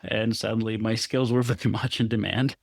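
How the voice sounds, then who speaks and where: Low-voiced reflective man from Israel. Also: fairly even intonation, a little fast, creaky, no echo, studio quality. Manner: thoughtful, laughs often while telling stories. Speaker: guest photographer. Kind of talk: reflective conversational interview.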